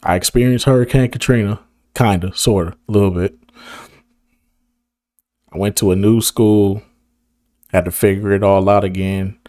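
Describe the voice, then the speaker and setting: A hoarse man of about thirty, talking casually to camera in a rambling, slang-heavy way and laughing often. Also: lowish voice, fairly even intonation, normal speed, pauses often, darkish tone, no echo, clean studio sound. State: hoarse, losing his voice. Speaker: video creator. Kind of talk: casual reminiscing monologue to camera.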